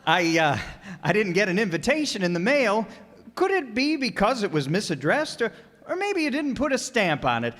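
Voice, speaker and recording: Man playing a high-voiced, shameless freeloading sidekick. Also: sing-song, moderate pace, a bit bright, big-room sound. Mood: cheeky, unbothered. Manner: wisecracking, unbothered, folksy. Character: Frankie, shameless, thick-skinned freeloading male sidekick. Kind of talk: old-time radio comedy acting before an audience.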